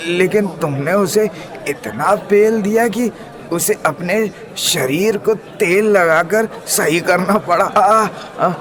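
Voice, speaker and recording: Man acting a high-voiced, stammering cowardly goon. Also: a crowd in the background. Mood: pleading, sheepish. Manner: halting, stammering. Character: cowardly, pleading male street goon.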